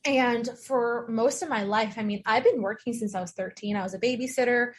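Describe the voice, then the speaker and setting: A woman's voice: fairly high pitch, a little fast. A woman life coach, a guest on the show, telling personal stories candidly and with emphasis. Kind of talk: personal storytelling in interview.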